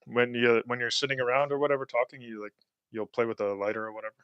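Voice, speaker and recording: A man's voice: mid-range voice, steady tone, fast, clean tone, no echo, dull audio. A man hosting a casual home podcast, rambling, swearing often and trailing off mid-sentence. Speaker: podcast host. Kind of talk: casual chat. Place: indoors at his home.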